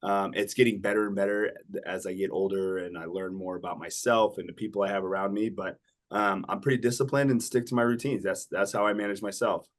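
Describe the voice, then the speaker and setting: A man in his forties, a guest entrepreneur on a podcast, talking in a steady, self-assured way and often ending with tag questions. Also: on the low side, brisk. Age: 40s. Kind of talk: casual interview talk.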